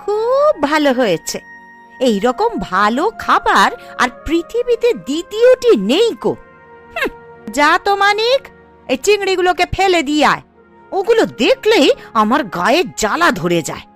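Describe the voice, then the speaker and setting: A woman playing a sharp-tongued, scheming middle-aged village mother and aunt in a cartoon, bossy and sarcastic. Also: very high-pitched, big pitch swings, moderate pace, soft background music. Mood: angry, sarcastic. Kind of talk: Bengali cartoon voice acting.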